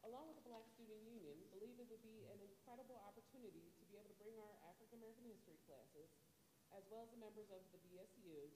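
A woman teacher with a loud voice presenting a field trip proposal to a school board in an upbeat, brisk way. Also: medium pitch. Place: large school auditorium. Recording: echoey auditorium with long reverb and background noise.

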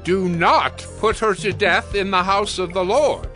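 High, forceful, commanding ancient priest. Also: male voice, a little slow, faint music behind. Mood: stern command. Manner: declamatory, authoritative. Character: commanding Old Testament priest, solemn and stern. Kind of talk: dramatized audio Bible acting.

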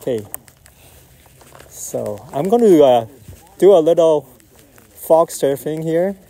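A man flying a model jet outdoors, calmly calling out each maneuver in short phrases as he flies. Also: fairly high pitch, slow pace, creaky. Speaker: RC jet pilot. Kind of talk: live flight commentary. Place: outdoors at an RC airfield.

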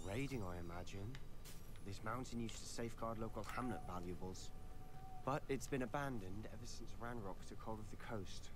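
A man's voice: on the low side, normal speed, lightly acted. An actor voicing an intense, impatient young male wizard student in a video game, speaking in a clipped, urgent way. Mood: wary. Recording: game audio with background noise.

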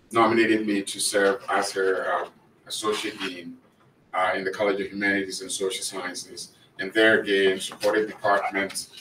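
A man in his sixties from Ghana with a Ghanaian accent, giving a measured statement full of fillers as an applicant at a school board meeting. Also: fairly low pitch, bright, forceful tone. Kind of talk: public comment at a meeting. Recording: echoey room from far off, noisy.

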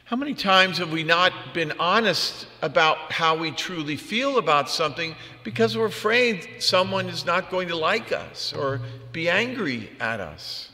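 Male priest, higher-pitched and forceful, conversational homily delivery in a big reverberant space. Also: animated, a little slow, hardly any pauses. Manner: conversational, plain-spoken, rhetorical questions. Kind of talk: homily with personal anecdotes. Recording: long reverb of a large room.

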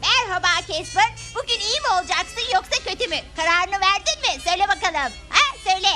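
Casting: childlike cartoon role, extremely high voice, music behind. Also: female voice, animated, brisk. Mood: childlike, earnest. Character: childlike characters in a cartoon of ghosts and witches. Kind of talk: dubbed cartoon acting. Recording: loud music under the voice.

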